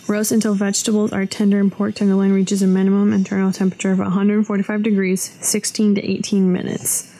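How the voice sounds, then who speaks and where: A young woman in a home kitchen reading recipe steps aloud in a steady, matter-of-fact way. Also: mid-range voice, poor audio. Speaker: home cook.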